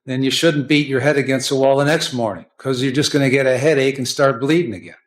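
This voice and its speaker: American guy judge, mid pitched voice, blunt courtroom lecture, repeats himself and keeps saying okay. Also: fast talker. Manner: blunt, repetitive, often tags "okay?". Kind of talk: courtroom questioning and lecturing at sentencing.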